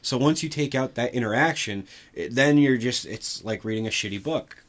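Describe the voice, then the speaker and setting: Male co-host, medium pitch, informal talker who thinks out loud with plenty of "like". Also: normal speed. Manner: informal, frequent "like" while reasoning. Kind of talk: casual discussion of films and games.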